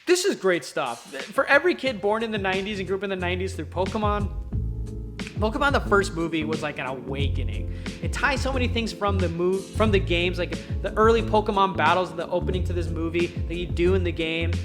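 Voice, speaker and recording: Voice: medium pitch, lively intonation, fairly quick. An enthusiastic, chatty video host giving casual, emphatic film commentary in a countdown format. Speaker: YouTube host reviewing films. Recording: music playing behind the voice. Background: background music.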